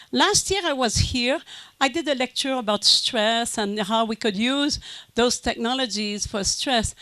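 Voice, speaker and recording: A French Canadian woman, a native French speaker, giving a conference lecture in a halting way with many fillers and restarts. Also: a little high, fairly bright tone, dry recording. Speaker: guest speaker.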